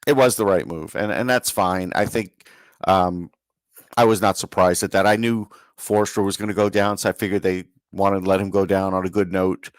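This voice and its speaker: A man's voice: low-pitched, steady tone, normal speed, no room sound. A man co-hosting a sports podcast, talking through analysis in a conversational way.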